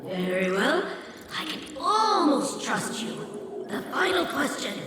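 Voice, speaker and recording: An actress playing a guarded, loyal keeper of a secret in a video game, speaking in a measured, formal and expressive way. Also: female voice, sits high, distant mic, crowd noise. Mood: testing, amused. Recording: very echoey reverberant hall, loud music behind.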